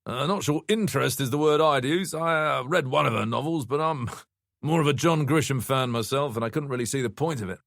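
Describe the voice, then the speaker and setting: A man's voice: medium pitch, brisk, vocal fry, fairly bright tone, no echo, studio quality, voice pushed up. A man voicing a brash, raffish rich man in his fifties, breezy, boastful and bluntly scornful, in an audiobook story. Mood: breezy dismissive.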